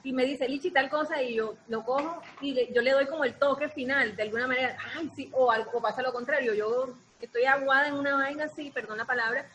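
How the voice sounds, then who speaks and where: A woman's voice: high voice, fast, hardly any pauses. A woman with a Colombian Caribbean accent chatting informally and expressively in an interview. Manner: expressive, informal, acts out quotes. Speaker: writer in an arts collective. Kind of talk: casual interview chat. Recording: noisy background ambience.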